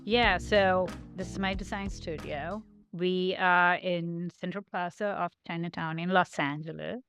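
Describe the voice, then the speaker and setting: A woman's voice: fairly low pitch, steady tone, a little slow, fairly bright tone, soft background music. A woman from Sri Lanka being interviewed in her design studio, talking in a reflective way with many "like" fillers. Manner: thoughtful, reflective, with frequent "like" fillers. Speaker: guest, studio founder. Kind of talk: casual interview talk.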